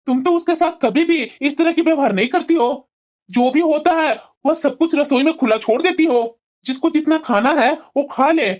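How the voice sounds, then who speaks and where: A woman's voice: sits high, muffled recording. A woman voicing a protective elderly husband in a family drama, speaking earnestly and consolingly. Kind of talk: Hindi family drama story dialogue.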